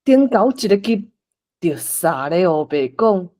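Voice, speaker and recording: A soft, dark-toned woman with a Taiwanese accent narrates a folk tale at a slow pace. She tells it as a scripted story, guiding the listener through it. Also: lowish voice, big pitch swings.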